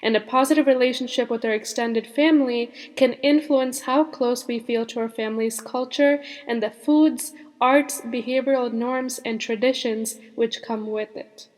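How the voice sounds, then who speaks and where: A teenage girl co-hosting a youth podcast, reading her part in a measured, explanatory way. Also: fairly high pitch, steady tone, a little mellow. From born in India, raised in the United States. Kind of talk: read-aloud podcast script. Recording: long reverb on the voice.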